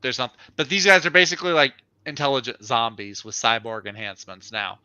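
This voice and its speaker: A man's voice: medium pitch, steady tone, brisk, pushed and bright, clear, resonant tone, dry recording, dull audio. A man chatting casually and enthusiastically as he reviews on a livestream show, informal and unscripted.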